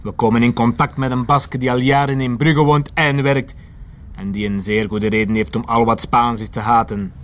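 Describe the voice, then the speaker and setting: An actor playing a menacing militant in an audio drama, taunting and deliberate. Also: male voice, medium pitch, flat delivery, a bit bright, lightly acted. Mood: angry, accusing. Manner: taunting, deliberate, switching between Dutch and Spanish. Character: menacing Basque militant, interrogating man.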